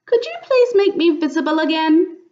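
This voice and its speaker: Woman acting a young girl possum, sweet, polite, childlike, high-pitched, lively storybook voice, slightly dull recording. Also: moderate pace. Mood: hopeful pleading.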